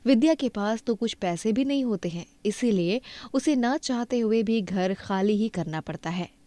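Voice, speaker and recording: A woman's voice: mid-range voice, animated, normal speed, mellow, no room sound. A woman reading scripted narration for a moral cartoon story as its storyteller.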